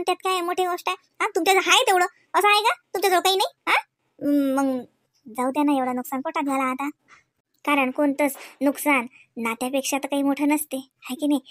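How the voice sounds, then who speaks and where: A woman's voice: high-pitched, fairly bright tone, no room sound, studio quality. A woman playing an earnest sister-in-law in a cartoon, coaxing and preaching in a Vidarbha Marathi accent with frequent questioning tags. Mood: pleading, reassuring. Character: earnest, persuasive sister-in-law counselling a young man. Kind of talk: cartoon dialogue in rural dialect.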